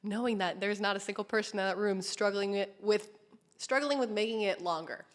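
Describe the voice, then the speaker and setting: A woman hosting an interview, asking polite, measured questions. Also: medium pitch.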